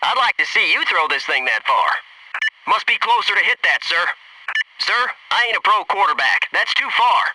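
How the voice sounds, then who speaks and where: An actor playing a cocky, wisecracking young Navy SEAL, giving clipped, urgent combat callouts in a video game. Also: male voice, high-pitched, sing-song, normal speed, far from the mic, background noise. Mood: alert, sardonic. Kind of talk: military game combat barks and radio callouts.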